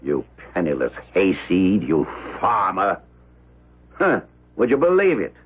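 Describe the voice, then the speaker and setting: An actor playing a rich, domineering old man who barks orders and insults in a gruff radio drama style. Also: low voice, huge pitch swings, a little slow, narrow, tinny audio, slight background noise, full character voice. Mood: irritated, scornful. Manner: barking orders, insulting, imperious. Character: rich, gray-haired, domineering old miser, quick to rage. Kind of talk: 1970s radio drama acting.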